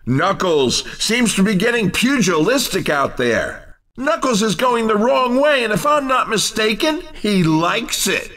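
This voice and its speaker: An actor playing an excitable, cheeky race commentator in a video game, with a wry, showy sportscaster delivery. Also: male voice, high-pitched, a little slow, recorded from a distance, heavily acted. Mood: amused, excited.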